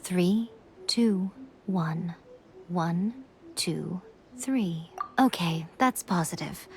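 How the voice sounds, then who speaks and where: A woman's voice: on the low side, extremely slow. A woman playing a cool, clinical villain in a fantasy video game. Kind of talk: measured dramatic game voice acting.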